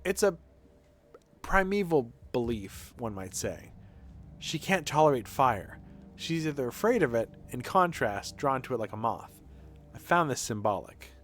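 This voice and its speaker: A man voicing a proud, grave young aristocratic heir from a video game, speaking in a formal, measured, solemn way. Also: medium pitch, sing-song, a bit bright. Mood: explaining. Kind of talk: dramatic reading of game dialogue. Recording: game music behind the voice.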